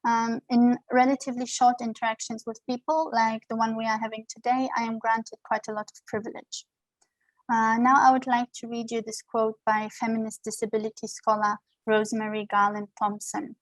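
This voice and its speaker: A woman speaking English as a second language after German reads a prepared lecture in an earnest, measured way. Her voice sits a little high but keeps a very level, unvaried line. The sound is dry and close, coming over a video call from home. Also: level tone, no room sound, poor audio. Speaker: guest lecturer.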